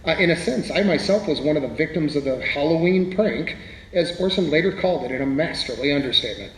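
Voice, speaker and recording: A man's voice: fairly high pitch, animated, fast talker, lightly acted. A man playing a wry, literary playwright reminiscing as an interview guest in a radio drama, with hesitant starts. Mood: wry, modest. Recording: echoey hall, faint background noise.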